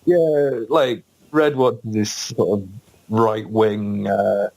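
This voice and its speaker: A man's voice: lowish voice, steady tone, slow pace, gravelly, darkish tone, low-quality recording. A man, a band's guest on a podcast, telling a story hesitantly with many ums and frequent laughs. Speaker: guest musician in a band. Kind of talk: casual podcast interview storytelling.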